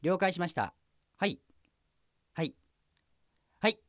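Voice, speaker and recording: High-voiced, exasperated junior detective played by a man. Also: animated, takes it slowly, fairly bright tone, no echo, clean studio sound, exaggerated voice. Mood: businesslike. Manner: dutiful politeness breaking into muttered insults. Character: exasperated, sarcastic junior male police detective. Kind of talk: comic radio drama acting.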